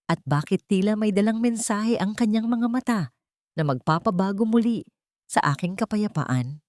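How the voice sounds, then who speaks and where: A woman's voice: fairly low pitch, a little mellow, no echo, studio quality. A woman narrating a scripted first-person dramatic story as an audiobook narrator.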